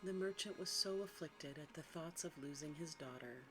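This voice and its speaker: A storyteller giving a hushed ASMR narration of a bedtime fairy tale. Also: medium pitch, moderate pace, rough recording. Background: background music.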